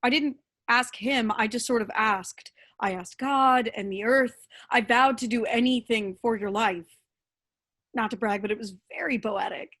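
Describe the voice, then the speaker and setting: An earnest, coaxing woman with a slightly high voice, performed by an actress. She speaks persuasively, as a hopeful friend in a read-through of a comic stage play. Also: measured pace, a bit bright.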